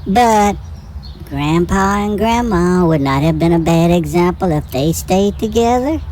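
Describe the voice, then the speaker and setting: A curious, caring young son, played by a woman, questions things earnestly in an amateur audio drama. The pitch is on the low side but swings in a lively way, with faint noise behind it. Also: measured pace. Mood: earnest, questioning. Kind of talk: hobby audio drama dialogue.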